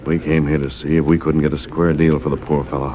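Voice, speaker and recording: An extremely low-voiced masked western hero, fair-minded and resolute. It is 1930s radio acting on a muffled recording with background din. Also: male voice, flat delivery, fast talker, crowd noise. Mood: resolute, calm.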